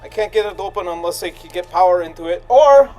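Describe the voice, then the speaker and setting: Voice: medium pitch, lively intonation, fast, warm tone, soft background music. A player chatting casually during a tabletop role-playing game and describing their character's actions.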